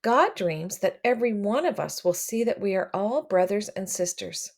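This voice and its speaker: A woman reading a picture book aloud to children, expressive and addressing them directly as she comments along. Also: mid-range voice, moderate pace. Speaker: read-aloud storyteller for children.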